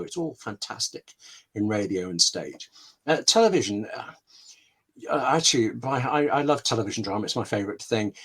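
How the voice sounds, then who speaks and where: A man talks as an interview guest about his craft, in a mid-pitched voice. His delivery is conversational and emphatic, often breaking off into asides and anecdotes. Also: a bit bright. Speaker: scriptwriter, webinar guest.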